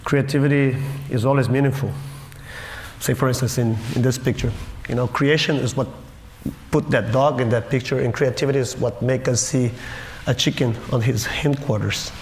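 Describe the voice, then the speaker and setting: A man's voice: medium pitch, steady tone. Wry man in a roomy hall. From Brazil. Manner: wry, self-mocking, halting with restarts. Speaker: conference speaker. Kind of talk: humorous storytelling talk. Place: conference auditorium stage. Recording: roomy hall with faint noise.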